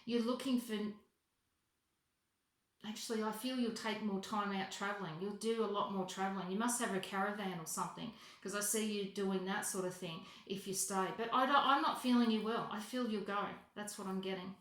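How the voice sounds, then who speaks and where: A woman giving an unscripted psychic reading as a voicemail, halting and correcting herself as she goes, often repeating phrases for emphasis. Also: medium pitch, fairly even intonation, fairly bright tone. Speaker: psychic reader. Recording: roomy, echoing voice recording.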